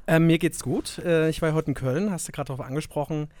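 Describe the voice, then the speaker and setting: A man with a faint Saxon accent, a guest chatting casually on a podcast and often pausing with hesitation fillers. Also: on the high side, dry recording. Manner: relaxed, lots of hesitation fillers. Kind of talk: casual conversation.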